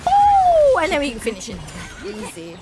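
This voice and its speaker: A woman streaming a game with casual, playful chat, often exclaiming and repeating her phrases. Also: high-pitched. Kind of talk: casual livestream gameplay chat. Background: game music.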